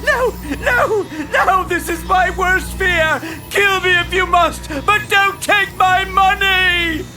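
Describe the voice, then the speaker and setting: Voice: very high-pitched, over music, rough recording. An actor voicing a pompous, greedy tycoon hologram in an audio drama, theatrical and full of exclamation. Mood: horrified, furious. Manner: theatrical, exclaiming, drawn-out words. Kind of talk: scripted audio drama acting.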